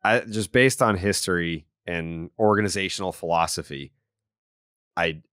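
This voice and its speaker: Guy hosting a baseball podcast, forceful bright voice, kinda low, dry close sound. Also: moderate pace, no room sound. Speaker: sports podcast host. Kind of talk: casual baseball podcast chat.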